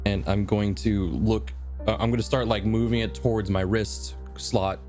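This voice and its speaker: A man improvising casually as a player in a tabletop game, halting as he thinks aloud. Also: on the low side, fairly even intonation, a little mellow, faint music behind. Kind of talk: casual improvised role-play.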